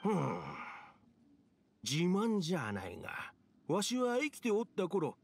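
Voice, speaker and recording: A man's voice: sits high, wildly swooping pitch, takes it slowly, creaky, exaggerated voice. A man playing an ancient, mischievous male fox spirit in a video game, with old-fashioned, theatrical elderly speech. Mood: proud, reminiscing. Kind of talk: Japanese video game acting.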